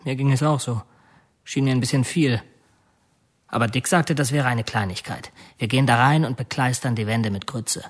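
An actor playing a man who confesses to a burglary turned killing, recounting it in measured, matter-of-fact detail in an audio drama. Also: mid-range voice, close to an everyday voice. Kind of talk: audio drama monologue, confession under questioning.

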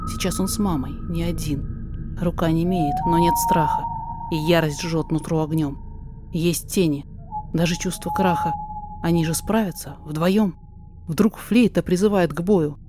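A woman reading a rhymed story aloud as theatrical, deliberate dramatic narration. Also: fairly low pitch. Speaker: podfic reader. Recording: music behind the voice.

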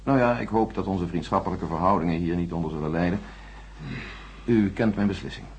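An actor playing a coolly official, condescending chief space engineer with a husky voice and a formal, patronising way of talking. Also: male voice, deep voice, a little fast, heavily acted. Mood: coolly dismissive. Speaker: radio drama actor. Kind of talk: radio play dialogue.

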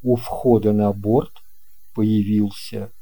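Male audiobook narrator, very dark mellow tone, slow pace, expressive storytelling. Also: fairly low pitch, husky.

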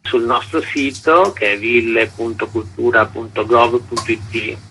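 A man's voice: medium pitch, measured pace, pushed and bright, breathy edge, rough recording. A man being interviewed as an artistic director, explaining things formally in wordy, intellectual phrasing.

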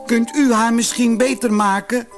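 A man's voice: very high voice, steady tone, normal speed, soft background music, pitched above the performer's own voice. A man voicing an earnest, brave, tender-hearted little boy in a fairy tale audiobook. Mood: hopeful. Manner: pleading, earnest.

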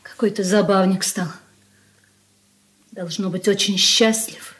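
Married daughter: medium pitch, careful and wary, period radio drama. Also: female voice, fairly even intonation, slow, fairly bright tone. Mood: wry, careful. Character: grown married daughter, wary of her overbearing brother. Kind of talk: 1980s Soviet radio play acting.

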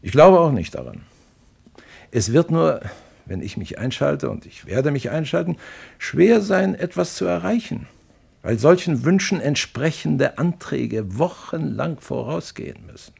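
Casting: worldly male diplomat, dismissive lecturer, husky and creaky, radio play. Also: fairly high pitch, expressive, unhurried, darkish tone, heavily acted. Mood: emphatic, lecturing. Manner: emphatic, lecturing.

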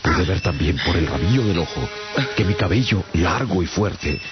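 A man narrating a radio drama as a traveller recounting his adventure, in a theatrical, deliberate storytelling manner. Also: deep voice, animated. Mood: wondering. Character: adult male traveller recounting his strange captivity. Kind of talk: dramatised first-person audiobook narration. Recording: dull radio audio over clear music.

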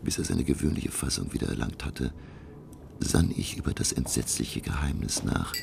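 An actor playing a curious, awestruck male scholar who narrates his undersea voyage in a hushed, deliberate, gravelly voice for a radio play. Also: rumbling low, sing-song, background noise, heavily acted. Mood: hushed wonder.

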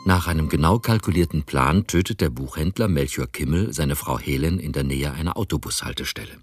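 A man reading scripted narration for a radio crime play in a measured, dramatic storytelling manner. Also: a bass voice, slightly rough, soft background music.

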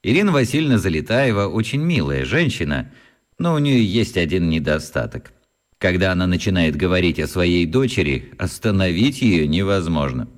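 A man's voice: low voice, sing-song, normal speed. An actor playing a genial, talkative middle-aged father with a teasing, mock-formal manner in a children's radio drama. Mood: chatty. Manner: chatty, mock-formal, hesitating mid-thought.

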